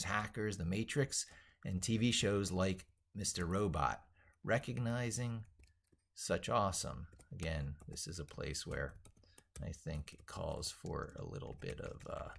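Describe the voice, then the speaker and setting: A middle-aged man reading his own essay aloud as he works on it, in a theatrical, wordy, emphatic way. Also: lowish voice. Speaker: tech educator and video host.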